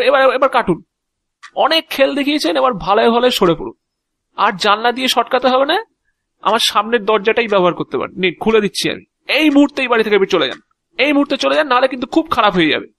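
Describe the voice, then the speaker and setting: A man's voice: very high voice, expressive, fast, gravelly, a bit bright, dry recording, heavily acted. A man acts out an exasperated householder in an audio drama. The delivery is agitated and stammering, with a sarcastic edge. The recording is dry and close, with no room around the voice. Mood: indignant. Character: flustered, exasperated householder confronting an intruder.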